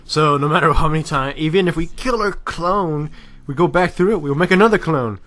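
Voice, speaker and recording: A man's voice: a little high, fairly quick, fairly bright tone. Man casually rambling through gameplay commentary. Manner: rambling, self-correcting, crude slangy talk. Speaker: gaming video commentator.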